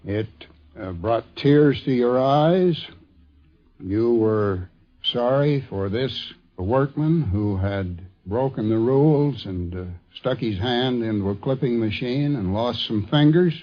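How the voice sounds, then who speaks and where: An older man telling an anecdote in a halting, deliberate way, interviewed for a 1950s radio programme. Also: medium pitch, animated. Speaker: interviewee, former magazine editor. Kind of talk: anecdotal reminiscence. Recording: dull 1950s radio recording.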